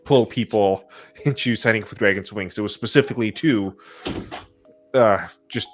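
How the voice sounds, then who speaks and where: A man's voice: lowish voice, moderate pace, faint music behind. A man hosting a casual podcast, talking informally with his co-hosts. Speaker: podcast host.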